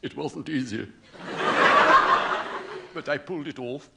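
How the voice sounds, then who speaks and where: An actor playing an ardent, theatrical soldier lover in a parody of 1940s film romance, on an old radio comedy recording. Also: male voice, medium pitch, slow, vocal fry, heavy background noise. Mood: stoic, romantic. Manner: clipped, theatrical, overwrought delivery.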